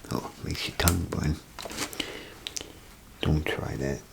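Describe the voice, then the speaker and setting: A man playing a chatty, folksy bathroom attendant in a soft ASMR role play, speaking in a gravelly, breathy half-whisper with rambling, hesitant phrasing. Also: rumbling low, fairly even intonation, slow, exaggerated voice. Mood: chatty, explaining. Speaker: ASMR performer. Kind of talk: ASMR role play, softly spoken to the listener. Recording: close ASMR recording, clear background noise.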